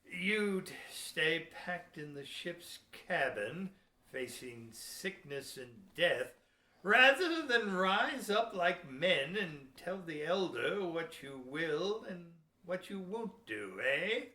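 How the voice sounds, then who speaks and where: Man acting a crafty sea captain, slow insinuating delivery, forceful tone, archaic nautical speech, audio drama, echoey room. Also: a little high, sing-song, exaggerated voice. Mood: sly, goading. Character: gruff, crafty old-time sea captain, sly and goading. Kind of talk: amateur audio theater historical drama acting.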